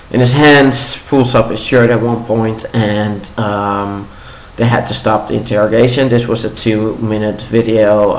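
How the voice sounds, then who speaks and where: A young man whose first language is Dutch hosts a casual daily news vlog. He talks with many ums and restarts, and his voice sits a little low. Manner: halting, frequent ums and restarts. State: voice a little low after a concert. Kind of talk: casual news rundown with commentary. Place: home room in Los Angeles. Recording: roomy home recording with faint ambience.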